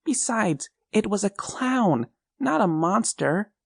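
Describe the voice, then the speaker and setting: A frightened little boy pleads in a high, tearful voice that sits far above the reader's own, as a man puts him on for a storybook. The recording is dry and close. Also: very animated, takes it slowly, vocal fry, husky, no echo, heavily acted. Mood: insistent. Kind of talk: storybook reading.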